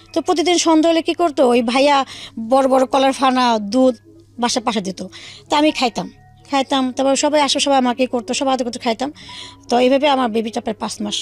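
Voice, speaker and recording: A woman's voice: high voice, fairly quick, soft background music. A woman with a Bangladeshi accent telling her own life story as an interview guest, in colloquial speech with quoted dialogue.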